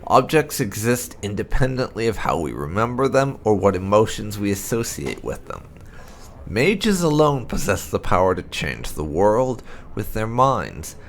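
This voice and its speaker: A man narrating fantasy game lore aloud, with deliberate, dramatic emphasis as a video host. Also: on the low side, gravelly.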